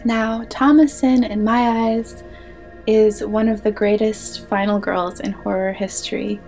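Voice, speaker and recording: A young woman hosting a film analysis video, chatty with playful asides, slightly hoarse from losing her voice. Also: mid-range voice, fairly even intonation, measured pace, darkish tone, faint music behind. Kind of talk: film analysis talk to camera.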